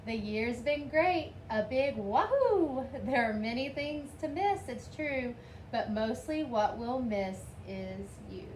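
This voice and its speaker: A woman's voice: on the high side, lively intonation, slow pace, roomy sound. A woman reading a picture book aloud expressively, lifting her voice for the children's lines. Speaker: storybook reader. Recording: faint background noise.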